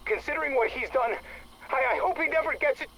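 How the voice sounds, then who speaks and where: An actor playing an anxious, bitter project specialist leaving a recorded testimony in a game, halting and stammering in agitation. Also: male voice, extremely high pitch. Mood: bitter, accusing. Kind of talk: recorded audio log monologue. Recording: noisy audio log tape.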